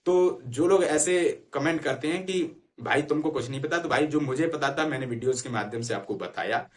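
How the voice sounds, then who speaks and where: A man's voice: medium pitch, fairly quick. A man explaining things informally to students as an online exam-prep educator, urging them directly and often checking that they follow. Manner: repeatedly checks understanding with short tag phrases.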